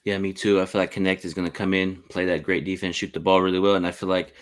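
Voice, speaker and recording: A man's voice: low-pitched, flat delivery, fast. A man hosting a sports podcast in casual chat, informal and agreeable with his guest.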